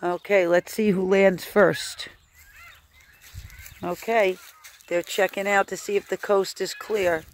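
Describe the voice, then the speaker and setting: Amazed, slow-paced woman vlogging outdoors. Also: medium pitch, flat delivery, slight background noise. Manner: exclamatory, amazed, repeats phrases. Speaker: animal sanctuary host filming a vlog. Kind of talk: casual excited outdoor narration to viewers. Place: outdoors at a rural animal sanctuary.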